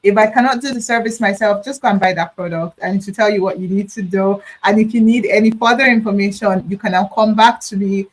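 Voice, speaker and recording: Woman host on a video call, middle pitch, chatty and encouraging. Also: steady tone, low-quality recording. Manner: chatty, affirming, with quick asides. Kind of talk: casual interview talk.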